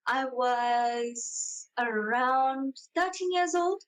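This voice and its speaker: High-voiced young South African woman chatting. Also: drawn-out words. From South Africa, Johannesburg and Durban. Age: young adult. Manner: chatty, self-deprecating, acts out quotes. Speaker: podcast guest, content creator. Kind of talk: casual interview chat.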